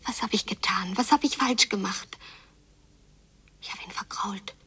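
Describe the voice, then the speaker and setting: A woman's voice: medium pitch, unhurried, a bit bright, husky, far from the mic. An actress playing a hurt, yearning girl abandoned by her father in an intense radio play monologue that often drops into whispering. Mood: pleading self-blame.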